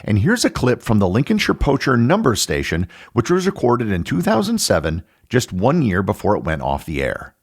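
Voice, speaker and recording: A middle-aged American man hosting a podcast, reading scripted educational narration in a measured, explanatory way. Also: lowish voice, huge pitch swings, slightly rough. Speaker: podcast host.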